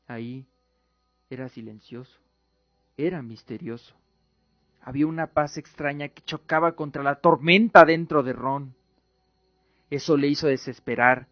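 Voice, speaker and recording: A man's voice: medium pitch, no echo. A man narrating a scripted fantasy radio drama as a dramatic storyteller. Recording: dull lo-fi with music underneath. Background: music.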